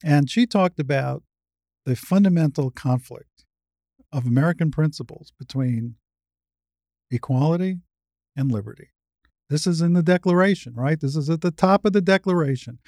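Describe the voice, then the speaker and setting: An older woman speaks as a guest in a spirited discussion. Her voice is low for a woman, recorded close and dry. She explains her points frankly and at some length. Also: normal speed, pauses often, dark tone, dry recording. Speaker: podcast guest, retired surgeon and advocate.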